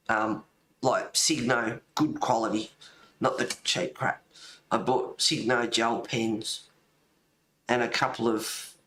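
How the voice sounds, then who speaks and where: A man's voice: medium pitch, fairly bright tone. Roomy-sounding Australian man, rambling casually. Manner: rambling, easily sidetracked. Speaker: host of a colouring livestream. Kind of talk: casual chat. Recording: roomy echo, faint noise.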